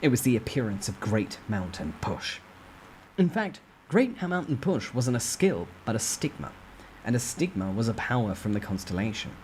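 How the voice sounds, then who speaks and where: A man's voice: medium pitch, big pitch swings, moderate pace, a little mellow, poor audio. Man narrating a novel over background noise. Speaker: storyteller reading a web novel aloud. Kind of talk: dramatic first-person novel narration.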